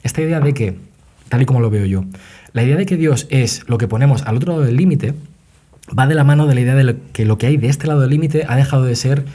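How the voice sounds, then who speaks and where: A man hosting a casual conversation, teasing his co-host with quick interjections and frequent laughs. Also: mid-range voice, mellow. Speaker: podcast host.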